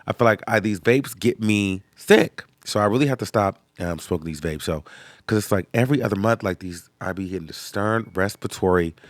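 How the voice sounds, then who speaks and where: A young man hosting a casual reaction video, sounding nasal and congested from sinus trouble, chatty and animated. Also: lowish voice, fairly quick, dry recording. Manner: chatty, animated, tag questions like okay. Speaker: host.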